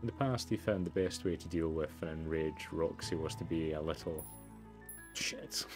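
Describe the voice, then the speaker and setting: A man doing low-key let's play commentary, reading story text aloud and muttering asides. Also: normal speed. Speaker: gamer, let's player. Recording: music behind the voice. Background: game music.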